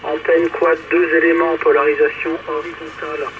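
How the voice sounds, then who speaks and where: A man's voice: on the high side, level tone, normal speed, fairly bright tone. A man chatting casually as an amateur radio operator over a two-way radio, hesitating as he searches for words. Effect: two-way radio transmission. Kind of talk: casual radio contact chat, passing turns. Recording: dull radio signal with clear static noise.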